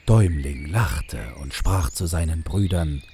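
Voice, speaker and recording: A man with a husky voice narrating a fairy tale in a measured, unhurried way. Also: rumbling low, animated, warm tone, slight background noise. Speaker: storyteller, narrator.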